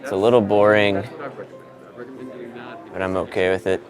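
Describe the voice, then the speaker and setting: A man's voice: deep voice, little pitch movement, normal speed, crowd noise. A laid-back man chatting casually and informally as a sound engineer vlogging his setup and testing microphones. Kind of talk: casual vlog chat with mic checks.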